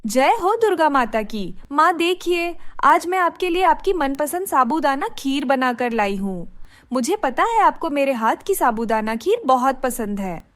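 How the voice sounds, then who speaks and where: A woman's voice: sits high, lively intonation, a little slow, fairly bright tone, no room sound, clean studio sound. A woman voicing a devout, mistreated young daughter in a dramatized moral story, speaking in an earnest, emotional, pleading way. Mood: devoted, cheerful.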